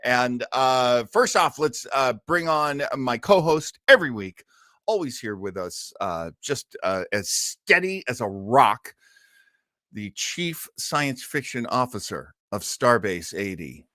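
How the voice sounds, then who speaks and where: A man's voice: mid-range voice, lively intonation, takes it slowly, pushed and bright, no room sound, studio quality. A man hosting a casual live online show in an effusive, folksy way with plenty of exclamations. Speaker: host of an online listening show.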